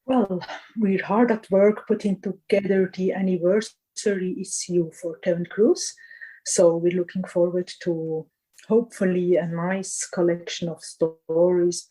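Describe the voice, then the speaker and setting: A woman's voice: lowish voice, dark tone, rough recording. A woman interviewed as a guest editor, answering thoughtfully and hesitantly, often restarting her phrases.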